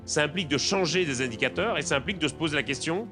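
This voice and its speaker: A man talks in a somewhat raised register. His delivery is deliberate and advisory, like an interviewee in a documentary. Music is clearly audible underneath. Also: a little high, expressive. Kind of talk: interview commentary in a documentary film.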